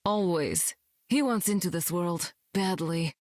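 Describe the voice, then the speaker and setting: An actress playing a young, sardonic demon queen and war captain, deadpan and dry, in a video game. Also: lowish voice, a little slow, no room sound. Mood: cool, deadpan. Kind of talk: video game character acting.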